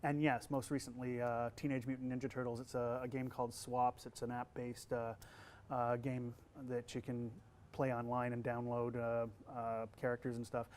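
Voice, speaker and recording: A man with a youthful-sounding voice talks through interview questions in an easygoing, chatty way, often pausing on fillers and starting his sentences over. His pitch sits in an ordinary middle range, and a faint ambient hiss hangs behind him. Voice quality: young-sounding voice. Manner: relaxed, many fillers and restarts. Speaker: voice actor and college instructor. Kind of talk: casual interview answers.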